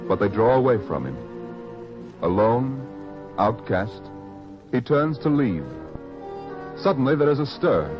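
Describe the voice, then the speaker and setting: A male announcer narrates a radio drama in a grave, theatrical way. His delivery swings expressively and lands each phrase deliberately. Music plays clearly behind him on a dull, vintage-sounding recording. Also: medium pitch, very animated.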